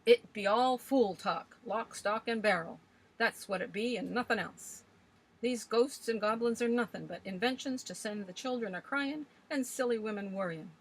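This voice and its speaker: Old village grump, loud and scornful, rustic grammar, read by a woman narrator. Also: fairly high pitch, moderate pace, breathy edge, voice pushed up, low-quality recording. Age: older.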